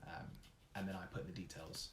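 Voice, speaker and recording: A man's voice: deep voice, flat delivery, brisk, a bit bright, echoey room, a little hiss or hum. A young man, chatting easily as an interview guest, laughing often and trailing off with fillers. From Wales. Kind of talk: casual interview chat.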